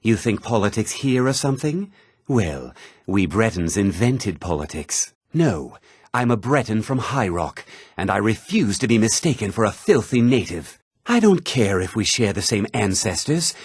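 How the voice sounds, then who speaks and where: An actor playing a haughty, put-upon fantasy townsman in a video game, husky, theatrical and often sarcastic. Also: on the low side, very animated, moderate pace, dry recording, clean studio sound, full character voice. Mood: scornful, irritable. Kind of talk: video game character dialogue.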